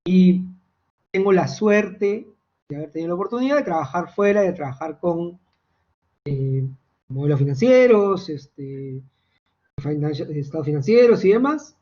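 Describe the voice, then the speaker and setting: A man's voice: high-pitched, expressive, measured pace. A man teaching a finance class online, talking hesitantly with many fillers and restarts. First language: Spanish. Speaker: finance instructor. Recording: dull lo-fi call audio.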